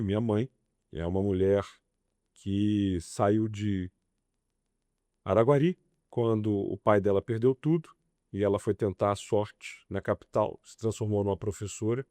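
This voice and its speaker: A man's voice: on the low side, dark tone, dry recording. A man, answering interview questions with emphatic, passionate delivery. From Belo Horizonte, Brazil. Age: 40s. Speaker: political candidate guest.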